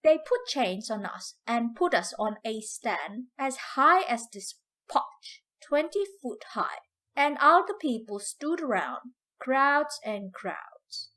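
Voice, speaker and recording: Woman acting a proud older Southern storyteller, slow, expressive. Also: a little high, exaggerated voice. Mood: grieving. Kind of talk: dramatic first-person storytelling in dialect.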